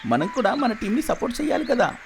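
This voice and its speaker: An adult performer voicing an eager, excitable young boy in a children's cartoon, exclaiming his lines. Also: mid-range voice, brisk, creaky, soft background music. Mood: excited.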